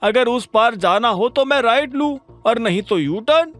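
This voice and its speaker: A man's voice: very high-pitched, animated, normal speed, a bit bright, faint music behind, voice pushed up. A man voicing an eager, puzzled cab driver in an audio drama, exclaiming and deferential. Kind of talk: Hindi audio drama acting.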